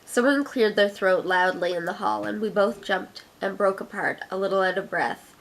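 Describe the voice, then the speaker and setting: A woman's voice: mid-range voice, normal speed, vocal fry, low-quality recording. A young woman from Canada with an Ottawa accent, chatting through an accent challenge and reading her own fiction aloud in a giggly way. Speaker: vlogger.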